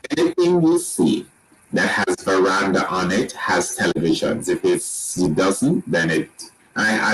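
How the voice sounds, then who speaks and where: A man with a Jamaican accent and a very deep voice gives a laid-back sales tour of a resort. His talk is easygoing and full of restarts, and faint noise hums under the recording. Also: low-quality recording. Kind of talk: presenting a slideshow tour.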